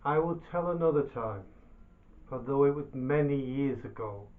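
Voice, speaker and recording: A man telling a folk tale as a storyteller, in a theatrical, deliberate manner with dramatic pauses and repetition. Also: mid-range voice, expressive. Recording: thin phone-like sound.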